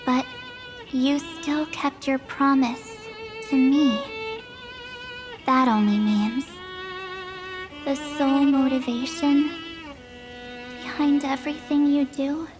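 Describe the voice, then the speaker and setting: A woman's voice: sits high, takes it slowly, pauses often, over music, low-quality recording. A woman voicing an earnest, defiant young traveler in a video game, speaking assertively in casual phrasing. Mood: firm, defiant.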